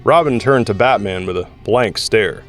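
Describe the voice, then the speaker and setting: A male narrator with a fairly low voice delivers scripted dramatic narration. Music plays softly behind him, in the manner of an audio drama. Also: moderate pace. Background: music score.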